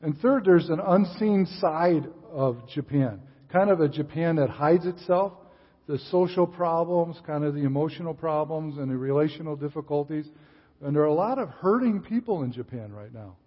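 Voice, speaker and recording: An older man, a visiting missionary speaker giving a sermon in a church, relaxed, with frequent fillers and restarts. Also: a little high, moderate pace, breathy edge. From Chicago area, USA. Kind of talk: sermon with casual asides. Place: church sanctuary. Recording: distant hall reverb, dull sound.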